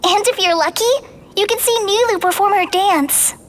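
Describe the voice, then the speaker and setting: A woman playing a gentle, eager teenage girl ranger trainee in a video game, speaking in an earnest, encouraging manner. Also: very high voice, moderate pace, slight background noise.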